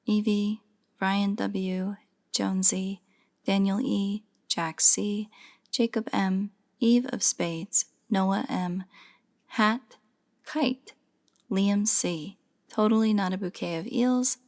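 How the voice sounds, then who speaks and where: A soft-spoken woman casually addressing her listeners in a relaxed way, as an audio roleplay creator. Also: mid-range voice, slow pace. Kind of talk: casual outro thanking listeners.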